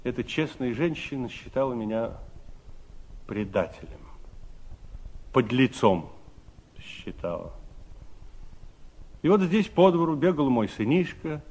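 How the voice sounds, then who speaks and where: A wistful, expressive ageing veteran. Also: male voice, fairly high pitch, unhurried, pauses often. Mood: bitter, reminiscing. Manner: theatrical, emphatic, reflective. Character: ageing revolutionary veteran, wistful, kindly uncle. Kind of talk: 1960s Soviet radio play monologue and dialogue.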